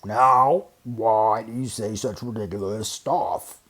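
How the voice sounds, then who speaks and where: A man voicing a big, gruff, boastful bear with a growl and a sneer for a children's storybook. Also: fairly low pitch, takes it slowly, a bit bright. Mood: gruff challenge. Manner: bragging, snorting, grunting.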